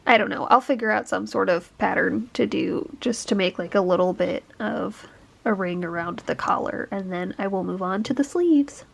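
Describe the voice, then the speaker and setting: A woman's voice: medium pitch, normal speed, gravelly. A young woman talking casually while she crochets, thinking aloud with lots of "like" and "um", her voice a bit stuffy from a bad cold. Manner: chatty, thinking aloud, frequent "like" and "um". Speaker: crochet vlogger. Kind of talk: casual explaining while crafting.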